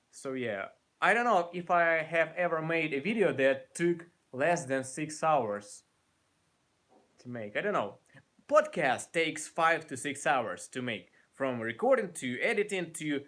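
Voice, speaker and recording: A man of twenty-seven with a Ukrainian accent, chatting casually on a live stream from a small room, animated and often restarting his sentences. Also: fairly high pitch. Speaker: guitar YouTuber. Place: small room by a brick wall, indoors.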